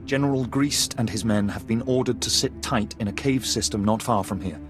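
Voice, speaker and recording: A man's voice: lowish voice, a little fast, music in the background, natural voice. Nervous, stammering male officer played by an actor. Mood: nervous, relieved. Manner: hesitant, stammering, deferential. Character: nervous, cowardly Imperial officer eager to save his skin. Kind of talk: video game voice acting.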